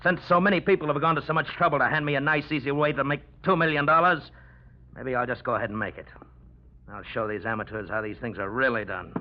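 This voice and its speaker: A man's voice: medium pitch, fast, slight background noise, close to an everyday voice. An American actor playing a jealous, threatening tough guy with a sneering manner in an old radio crime drama. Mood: angry, threatening. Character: menacing male tough guy, jealous, sneering, gun-waving. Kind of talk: 1940s radio crime acting. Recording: muffled vintage radio recording.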